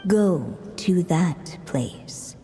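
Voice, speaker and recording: A mysterious storyteller in a long reverb recites a fairy tale at a slow, solemn pace. Her voice is on the low side, with a faint rumble underneath, like game storybook narration. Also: expressive, mellow. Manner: measured, solemn.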